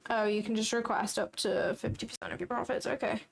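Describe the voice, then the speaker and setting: A woman's voice: fairly high pitch, steady tone, brisk, creaky. Blunt, sarcastic young woman streamer. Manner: blunt, sarcastic, swears freely. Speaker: futures trading livestreamer. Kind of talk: casual livestream chat.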